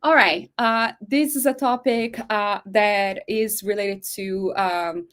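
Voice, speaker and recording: A woman's voice: mid-range voice, steady tone, slow. A woman from Brazil whose first language is Portuguese, speaking with a Brazilian accent and giving a chatty webinar lecture over a video call, full of fillers. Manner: warm, chatty, frequent fillers and laughs. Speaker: guest speaker, professor.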